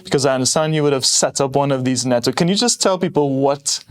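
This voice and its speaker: A man's voice: mid-range voice, quick pace. A man from Trinidad hosting a podcast interview, asking long, easygoing questions. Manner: relaxed, asks long, rambling questions. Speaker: podcast host.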